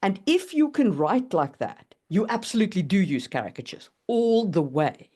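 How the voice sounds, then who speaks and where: A woman co-hosting a podcast, chatting casually about writing in an animated way and often checking for agreement. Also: medium pitch, normal speed, husky. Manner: animated, seeks agreement with "you know what I mean". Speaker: podcast co-host and writer.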